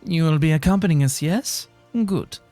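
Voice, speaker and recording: A man's voice: on the high side, moderate pace, dark tone, no room sound, soft background music, lightly acted. A man voicing an eloquent, composed young nobleman in a fantasy game, with formal and lofty phrasing.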